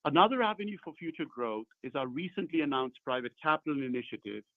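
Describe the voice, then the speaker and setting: A man's voice comes through a narrow, muffled phone conference line with no room sound. He is a company executive reading formal prepared remarks, measured and broken into careful phrases, at a middling pitch. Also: dry recording. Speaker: company executive on an earnings call. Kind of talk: formal scripted corporate presentation.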